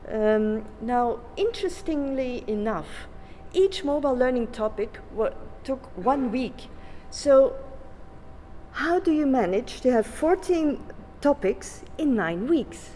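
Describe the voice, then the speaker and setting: A woman with a Flemish accent gives a conference talk, speaking haltingly with many fillers and restarts. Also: fairly high pitch, warm tone, slight background noise. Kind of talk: conference presentation. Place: conference hall. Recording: long reverb in a conference hall.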